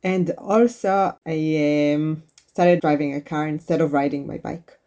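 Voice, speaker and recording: A woman in her thirties speaks with an Israeli accent, her first language being Hebrew. She talks earnestly, with frequent uhs. Her voice is warm, mellow and slightly low. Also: measured pace. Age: 30s. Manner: earnest, with uhs and small slips. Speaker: guest, activist.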